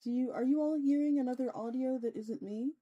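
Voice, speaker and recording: A woman hosting a livestream, chatting casually with her viewers in a playful way, with chatty asides and drawn-out emphasis. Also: sits high, dull audio. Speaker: VTuber streamer host. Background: soft ambient music.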